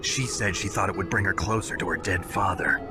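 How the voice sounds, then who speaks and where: An actor playing a blunt, brooding, world-weary veteran soldier in a video game, speaking tersely. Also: male voice, deep voice, a little fast, loud music behind the voice, lightly acted. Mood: anguished. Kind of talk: video game cutscene acting.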